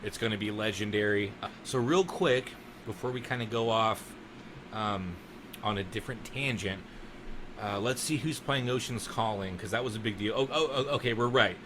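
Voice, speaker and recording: A man's voice: lowish voice, moderate pace, background noise. A man hosting a podcast in a casual, enthusiastic chat, swearing now and then. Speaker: podcast host.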